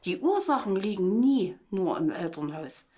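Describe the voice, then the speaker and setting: A woman's voice: medium pitch, very animated, moderate pace, warm tone. A middle-aged woman giving a spontaneous personal account as an interviewee, earnest and insistent.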